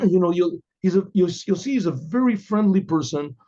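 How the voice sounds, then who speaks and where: A man, a rabbi, telling religious stories informally in a rambling, conversational way, with hesitations, repetitions and frequent throat-clearing. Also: sits high, animated, moderate pace, dark tone. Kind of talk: informal oral storytelling with religious commentary. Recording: dull, lo-fi recording.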